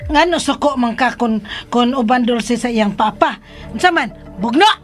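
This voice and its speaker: A woman's voice: on the high side, normal speed, faint music behind, close to an everyday voice. An actress playing a childlike, vain, simple-minded mother with a whining, playful manner in a radio drama. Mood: indignant.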